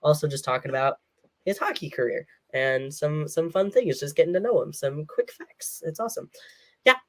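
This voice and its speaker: A man's voice: on the high side, lively intonation, normal speed, fairly bright tone. A man hosting a sports talk show, congested from a cold, chatting in a rambling way and laughing between phrases. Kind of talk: casual solo sports rundown.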